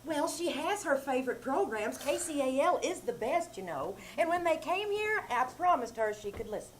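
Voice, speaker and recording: A woman's voice: high-pitched, very animated, moderate pace, a little hiss or hum. A woman playing a ditzy, chirpy wife. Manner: ditzy, sweetly rambling. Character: scatterbrained cheerful wife Jane. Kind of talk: live old-time radio comedy reenactment. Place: small theater stage.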